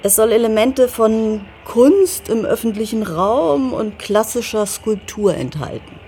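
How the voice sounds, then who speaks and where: An actress playing a forthright, gently challenging wife and mother in an intimate radio drama. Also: a little high, lively intonation, measured pace, slight background noise. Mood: frank, probing.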